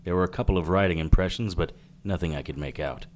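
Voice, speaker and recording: Casting: tough, sardonic forties detective narrator, male actor, lowish voice, hard-boiled radio delivery. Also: quick pace, a little hiss or hum, natural voice. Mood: wry, wary. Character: wry, tough, wary 1940s private detective.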